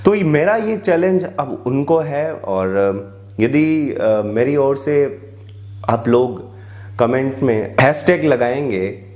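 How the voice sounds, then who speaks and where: एक युवा आदमी अपने घर के छोटे कमरे में जिम का सामान अनबॉक्स कर रहा है, आराम से बातें करता है, बीच-बीच में "अ" बोलता है और अंग्रेज़ी शब्द मिलाता है।. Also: male voice, mid-range voice, animated, slow pace, darkish tone. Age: young adult. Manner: chatty, many filler sounds, English words mixed into Hindi. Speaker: tech YouTuber unboxing gym gear. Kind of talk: casual unboxing vlog. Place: small indoor room with a tiled wall.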